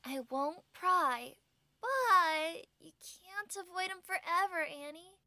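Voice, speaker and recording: A woman playing a caring, fussy palace attendant in an audio drama, with an expressive, exasperated delivery. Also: very high-pitched, slow pace, no echo, exaggerated voice. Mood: concerned, insistent.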